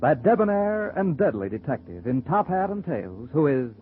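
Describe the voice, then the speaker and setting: Male radio announcer, theatrical old-time style, higher pitched, over loud music. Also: big pitch swings, normal speed, phone-quality sound. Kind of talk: 1940s dramatic radio announcing.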